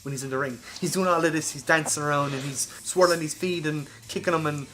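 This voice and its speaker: A man's voice: fairly high pitch, fairly even intonation, quick pace, noisy background. A man hosting a podcast, casually and insistently explaining a comedic scheme, with some swearing. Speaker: podcast host.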